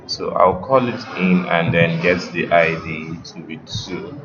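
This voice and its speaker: A man's voice: sits low, steady tone, measured pace, dull audio. A man teaching a step-by-step coding lesson, giving students instructions and checking that they follow along. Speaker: web development instructor.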